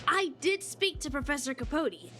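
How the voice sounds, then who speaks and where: High, flustered young attendant. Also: female voice, expressive, normal speed, bright, forceful tone, a little hiss or hum. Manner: hesitant, deferential, anxious. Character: loyal, flustered young attendant, deferential and worried. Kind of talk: anime-style video game voice acting.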